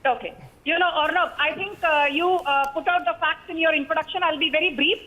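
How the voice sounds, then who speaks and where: A woman's voice: high voice, fairly even intonation, a little fast, pushed and bright. A woman with an Indian accent speaking as a TV debate panelist, explaining points over a thin, reverberant connection. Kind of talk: televised debate, explaining and quoting rulings. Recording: phone-like thin line, long reverb.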